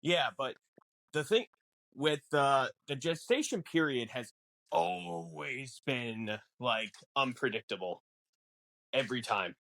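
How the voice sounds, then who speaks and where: A man co-hosting a casual film podcast, explaining things in a halting way with repeated words. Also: mid-range voice, animated, vocal fry, a bit bright, no echo. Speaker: podcast co-host. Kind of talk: casual film discussion chat.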